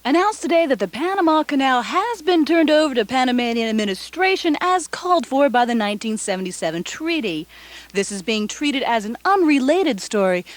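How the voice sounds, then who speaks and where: A woman's voice: high voice, no echo. A woman playing an earnest radio news anchor in a satirical newscast. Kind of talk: parody newscast delivery.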